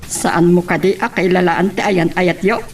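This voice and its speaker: A woman's voice: lowish voice, fairly even intonation, moderate pace, faint music behind, natural voice. A woman acting a concerned, probing mother of a grown son in a radio drama.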